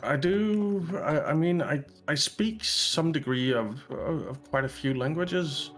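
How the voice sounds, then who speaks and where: A Danish man in easy gaming banter, his pace slow and unhurried. He pauses often on fillers as he thinks, while faint game music plays behind him. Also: a little high. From Denmark. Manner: relaxed, unhurried, hesitant with many fillers. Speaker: co-op gameplay commentator. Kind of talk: casual gaming chat.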